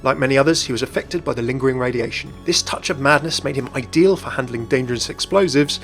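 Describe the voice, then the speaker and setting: Male presenter for scripted gaming explainer, mid-pitched and brisk, over light music. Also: a bit bright. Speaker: video presenter and narrator.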